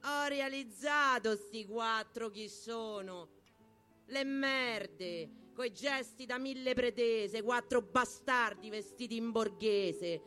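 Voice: mid-range voice, slow, sharp tone. A performer with a Roman accent giving a theatrical, emphatic spoken-word recital of rhymed verse over guitar music. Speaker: poetry performer.

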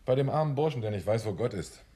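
An actor playing a sardonic, excitable rebel in a radio drama, speaking in terse, restless phrases. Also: male voice, mid-range voice, quick pace, breathy edge.

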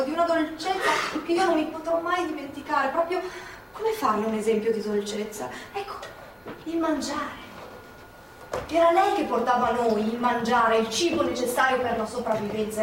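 Fast, high-voiced Milanese partisan woman acted, echoing hall. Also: lightly acted. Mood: tender, fond. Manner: insistent, chatty, colloquial, tag questions. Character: feisty, talkative former partisan courier woman, fond and insistent. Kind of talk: theatrical stage dialogue. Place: theatre stage. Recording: very echoey theatre, distant mic, audience noise.

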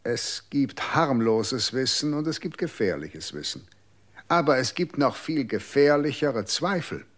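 A cold, condescending official. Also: male voice, medium pitch, unhurried. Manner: calm, dismissive, lecturing. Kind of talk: radio drama acting.

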